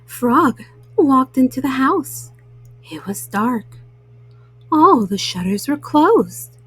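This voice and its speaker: A woman hosting children's storytime, reading gently and speaking soothingly and directly to young listeners. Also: high-pitched, very animated, takes it slowly, breathy edge, faint music behind, rough recording.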